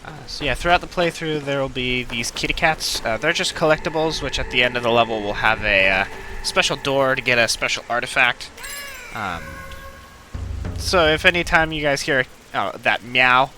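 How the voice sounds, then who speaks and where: Very bright-voiced man commentating on gameplay. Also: medium pitch, normal speed, faint music behind. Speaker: let's play host.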